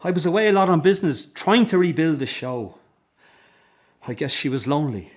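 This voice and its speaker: A man's voice: a little high, lively intonation, brisk. A man acting a middle-aged circus father in a radio drama, weary, guarded and wounded. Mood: hurt, resigned.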